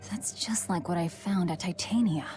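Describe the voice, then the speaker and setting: A guarded, hard-edged young heroine, played by an actress, speaks in a lowish female voice. She is blunt and deadpan in a dramatic game style, with faint music behind. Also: moderate pace, close to an everyday voice. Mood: dismissive. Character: curt, cold, guarded young woman, escaped prisoner. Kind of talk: dramatic video game voice acting.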